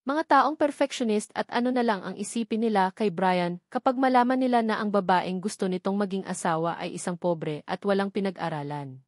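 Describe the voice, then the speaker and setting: A woman's voice: medium pitch, brisk, no room sound, studio quality. A woman reading a romance novel aloud as a scripted first-person narration. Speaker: audiobook narrator.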